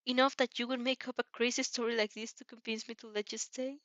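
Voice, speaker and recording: Woman playing a worried, caring mother, higher voice. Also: level tone, quick pace, fairly bright tone, no room sound, lo-fi sound, natural voice. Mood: stern, concerned. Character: kind, worried mother, hesitant but caring.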